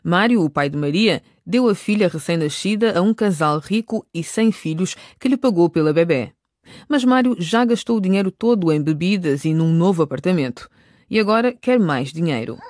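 A woman's voice: lowish voice, lively intonation, normal speed, a little mellow, no echo. A woman narrating a radio drama from a script, close on the microphone.

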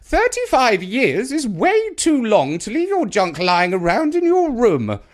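A man's voice: extremely high pitch, huge pitch swings, unhurried, pitched above the performer's own voice, full character voice. A man voicing a haughty, snappish elderly English grandmother in a children's storybook, scolding with indignant emphasis. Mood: snappish, bossy.

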